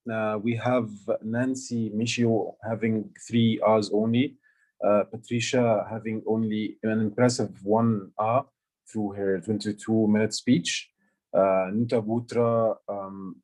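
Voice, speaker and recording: A man's voice: lowish voice, darkish tone, low-quality recording. Hesitant Egyptian man reading a report. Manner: hesitant, many fillers and restarts. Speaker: aha counter and grammarian. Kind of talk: online club meeting report. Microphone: video call.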